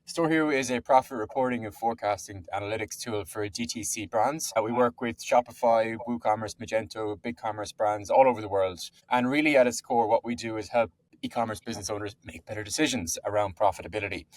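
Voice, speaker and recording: A man's voice: lowish voice, steady tone, quick pace, hardly any pauses. A man in his thirties with an Irish accent, a podcast guest talking conversationally, often repeating words and adding asides. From Ireland. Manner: chatty, repeats words, says "to be honest". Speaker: podcast guest, software co-founder. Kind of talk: conversational interview.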